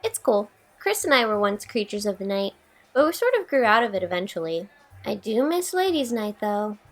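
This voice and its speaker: A woman's voice: medium pitch, big pitch swings, normal speed. A woman playing a peppy, friendly young mother in an audio drama, chatting in a chirpy way. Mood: candid, nostalgic.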